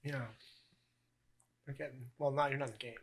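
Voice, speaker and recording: A man in his early twenties, co-hosting a podcast, chatting casually and rambling with many pauses and ums. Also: medium pitch, fairly even intonation, gravelly, breathy edge. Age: early 20s. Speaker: podcast co-host.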